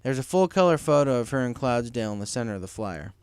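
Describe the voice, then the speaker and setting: A man's voice: mid-range voice, expressive, quick pace, a little mellow, clear, resonant tone, no echo. A man narrating a scripted story as an audiobook reader, in a dramatic storytelling manner. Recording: music behind the voice.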